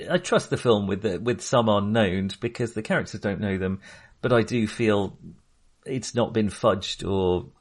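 A man's voice: fairly low pitch, a little slow. A British man co-hosting a casual film discussion, speaking in a hesitant, rambling way and often interrupting himself. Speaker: film podcast co-host.

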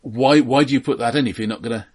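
A man co-hosting a film podcast, speaking casually in a dry, analytical, halting way, often repeating words. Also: mid-range voice, husky.

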